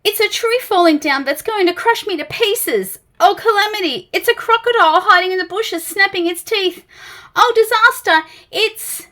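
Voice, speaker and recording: A woman voicing a tiny, jittery man who panics at everything, in shrill, squealing bursts. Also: moderate pace, sharp tone. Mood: panicky.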